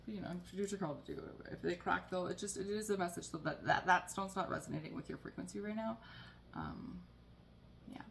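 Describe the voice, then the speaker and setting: Chatty woman with a gravelly low voice. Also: quick pace, slight background noise. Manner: chatty, rambling, swears casually, frequent laughs and "like". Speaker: tarot reader. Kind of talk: casual spiritual reading to camera.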